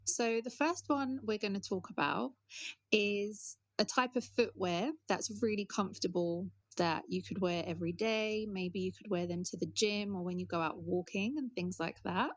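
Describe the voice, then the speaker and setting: A woman's voice: mid-range voice, normal speed, no room sound. A woman co-hosting an English-learning podcast, explaining word meanings with examples in a casual, friendly way. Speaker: podcast co-host.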